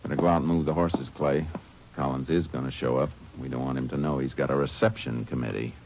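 Steady Ranger lawman, radio western. Low, terse, matter-of-fact, dull vintage radio sound. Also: fast talker, gravelly, loud music behind the voice. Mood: firm. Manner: laconic, matter-of-fact questioning. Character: steady, probing Texas Ranger lawman, male. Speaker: lead actor and narrator. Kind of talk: 1950s radio western acting and narration. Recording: muffled 1950s radio recording.

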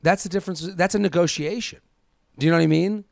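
A man in his thirties speaks in a fairly high voice with a lot of fry, recorded close and dry. He chats casually like an advice podcast host, firing off rhetorical questions and acting out imagined quotes. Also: fast talker, no echo. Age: 30s.

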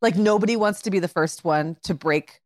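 A woman's voice: on the low side, fairly quick, darkish tone, no room sound. A middle-aged woman co-hosting a podcast in casual chat, talking in a rambling way with many filler words. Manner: chatty, many "like" fillers and restarts. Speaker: podcast co-host.

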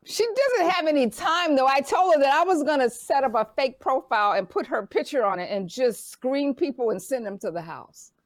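A woman with a high voice and swooping intonation, teasing and laughing in a casual group chat. The sound is close and dry, with no room echo. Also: expressive, moderate pace, few pauses.

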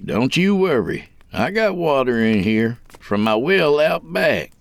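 A man performs an old country jailer in an audio tale. He is calm, sly and confiding, using folksy turns of phrase. His voice has a gravelly fry and lively rises and falls. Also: medium pitch, dry recording, voice pushed up. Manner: folksy, unhurried rural speech, conspiratorial.

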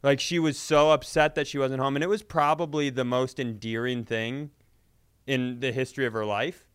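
A man's voice: mid-range voice, fairly even intonation, normal speed, fairly bright tone, clear, resonant tone, no room sound, clean studio sound. A man in casual comedic chat, telling stories in a profane, exasperated way. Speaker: comedy podcast host.

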